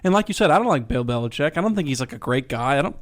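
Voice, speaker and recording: A man's voice: medium pitch, lively intonation, rapid-fire. A man co-hosting sports radio in casual banter, emphatic and repeating himself to drive points home.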